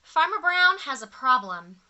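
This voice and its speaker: A woman's voice: high voice, very animated, unhurried, sharp tone, poor audio. A woman reading a picture book aloud to children expressively, in simple phrasing, as a storytime reader. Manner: asks young listeners questions, simple clear phrasing.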